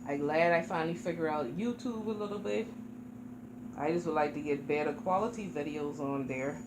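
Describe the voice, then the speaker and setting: A woman's voice: low voice, moderate pace. A woman, from South Carolina, chatting casually to camera about her reborn dolls at home. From Charleston, South Carolina. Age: 40s. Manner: chatty, rambling, informal. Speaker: reborn doll vlogger. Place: home room. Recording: echoey room with background noise.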